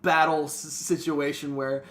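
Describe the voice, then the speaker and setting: A man's voice: on the high side, flat delivery, a little slow, a bit bright. A man co-hosting a reading show, narrating a fantasy story and discussing it casually. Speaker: narrator and co-host.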